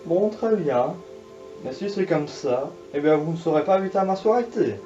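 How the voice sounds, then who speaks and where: A man voicing a clueless, easily offended young man who whines in a high, naive voice. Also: expressive, normal speed, dark tone, natural voice. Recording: echoey lo-fi room with faint music.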